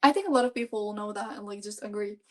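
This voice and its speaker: A young woman from Palestine, a podcast guest, chatting warmly with plenty of fillers. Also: medium pitch, quick pace. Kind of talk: casual interview chat.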